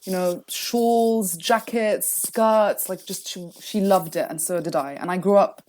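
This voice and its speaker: A woman's voice: mid-range voice, measured pace, darkish tone. A woman guest talking about her family in a reflective, somewhat hesitant way. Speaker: guest, embroidery workshop co-founder. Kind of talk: casual interview chat.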